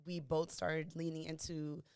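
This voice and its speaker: A woman of about 30 from Miami, a podcast guest talking about her life in a chatty, animated way and laughing often. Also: low-pitched, a little slow, smooth, no echo, clean studio sound. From Miami, USA. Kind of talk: casual interview chat.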